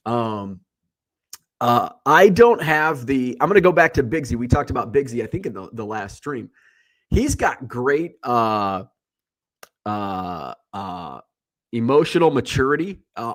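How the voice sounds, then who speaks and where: A congested man hosting a livestream, talking in a casual, rambling, blunt way and swearing freely. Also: medium pitch. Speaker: livestream host. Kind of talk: casual solo chat.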